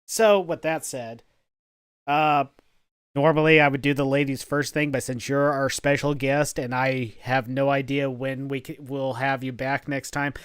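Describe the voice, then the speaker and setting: A man hosting a podcast in a deadpan, halting way, stumbling over his words now and then. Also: medium pitch, clear, resonant tone, no room sound, clean studio sound. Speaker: podcast host. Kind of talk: casual podcast chat.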